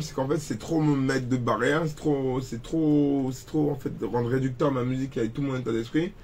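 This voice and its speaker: Rapper guest in his 20s from cameroon, mid-pitched, loose rambling talk, faint background noise. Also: warm tone. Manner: rambling, many restarts and fillers. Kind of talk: casual interview chat.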